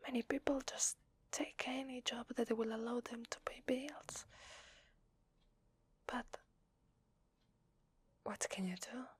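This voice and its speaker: A woman with an Italian accent playing a shy, secretly needy vampire lady in a hesitant, breathy whisper for an ASMR roleplay. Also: on the high side, steady tone, slow pace, pauses often, full character voice. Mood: shy, apologetic. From Italy. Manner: hesitant, polite, soft-spoken. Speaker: ASMR voice actor.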